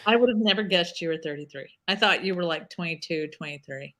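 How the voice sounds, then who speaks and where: A woman's voice: lowish voice, quick pace. A woman in her fifties telling stories in a casual livestream chat, laughing often. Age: 50s. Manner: animated storyteller, laughs often.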